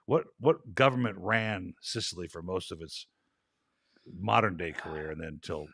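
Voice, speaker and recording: Chatty low-voiced male host. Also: normal speed, creaky, a bit bright, no echo, studio quality. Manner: chatty, rambling, laughs easily. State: slightly raspy from travel. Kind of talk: casual interview chat.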